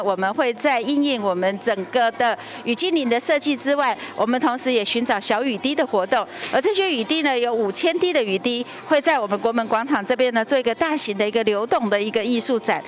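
High-pitched Taiwanese woman in an interview. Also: lively intonation, fairly quick, few pauses. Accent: Taiwanese Mandarin. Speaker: education official. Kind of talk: interview explanation. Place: large indoor lobby. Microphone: handheld news microphone, speaking through face mask.

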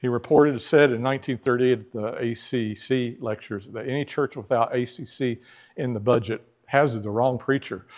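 A man's voice: mid-range voice, darkish tone. A middle-aged man teaching a church history class in a church classroom, talking conversationally, putting questions to the class and acting out the people he quotes.

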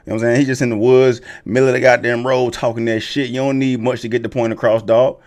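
A man's voice: medium pitch, flat delivery, fast talker, a little mellow. An American man in his thirties giving casual, slangy, profane reaction commentary, emphatic and repeating himself, close on a studio mic. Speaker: reaction video host. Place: small home gaming room. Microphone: close studio mic on boom arm.

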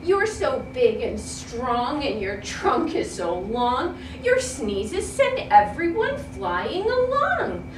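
A woman's voice: sits high, big pitch swings, slow pace, hardly any pauses, roomy sound, far from the mic, background noise, voice pushed down, heavily acted. Woman as a dismayed, pleading storybook buffalo. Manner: pleading, emphatic.

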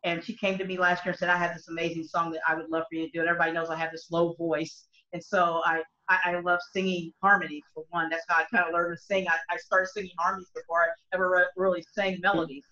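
A middle-aged woman, a country singer, talks on a video call from home. Her voice is bright and forward, and she rambles earnestly with many false starts. The laptop mic makes the sound dull and lo-fi. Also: lowish voice, flat delivery, fast, hardly any pauses. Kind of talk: casual video call discussion. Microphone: laptop webcam mic on a video call.

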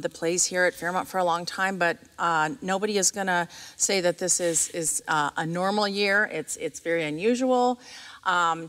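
A woman's voice: fairly low pitch, a bit bright. A middle-aged American woman asking questions in a conversational interview. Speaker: interviewer, school community relations coordinator. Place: seated on the stage of a theatre auditorium. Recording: reverberant empty auditorium.

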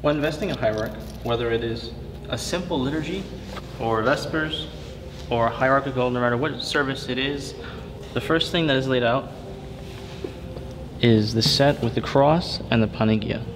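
A man in a church calmly demonstrating how to fold and lay out vestments, repeating steps and checking in with "okay?". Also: mid-range voice, moderate pace, background noise. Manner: patient, step-by-step, repeats himself with frequent "okay?" checks. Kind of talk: hands-on instructional demonstration. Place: church interior at a table. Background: background music.

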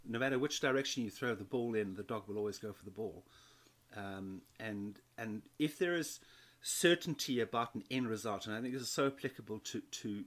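A man in his fifties from South Africa, telling his story as an interview guest, with many restarts and repeated words. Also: on the low side. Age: 50s. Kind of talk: conversational interview.

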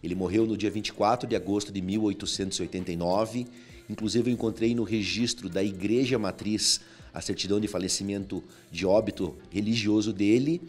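A man's voice: fairly low pitch, fairly even intonation, brisk, a little mellow, faint music behind. A man, a researcher explaining history in a TV interview. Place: small indoor restaurant room. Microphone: handheld microphone.

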